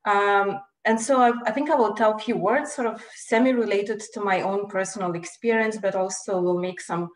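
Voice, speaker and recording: A woman's voice: medium pitch, steady tone, normal speed, hardly any pauses, poor audio. A woman researcher giving a webinar talk over a video call, hesitant, with frequent fillers and restarts. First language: not Danish. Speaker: postdoc researcher, webinar speaker. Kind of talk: academic talk.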